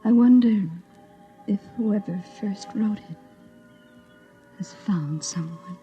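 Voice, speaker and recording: Mellow, wounded, lonely radio-drama actress as a woman. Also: medium pitch, takes it slowly, lo-fi sound, soft background music, natural voice. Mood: hushed, wondering. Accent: American. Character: bitter, wounded, lonely woman hounded by authorities. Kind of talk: 1950s American radio drama acting. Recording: noisy vintage 1950s radio recording.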